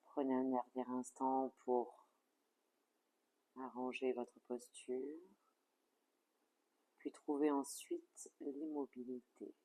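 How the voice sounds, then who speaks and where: A yoga nidra instructor leading a guided relaxation in a calm, soothing, measured way with soft phrase endings. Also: mid-range voice, poor audio.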